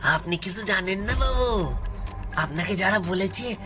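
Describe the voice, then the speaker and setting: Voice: medium pitch, expressive, unhurried, music in the background, natural voice. A performer voicing a poor, wronged forest tribesman in an audio story, pleading anxiously and repeating phrases insistently, with deference. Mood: earnest, aggrieved. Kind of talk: dramatised audio story acting.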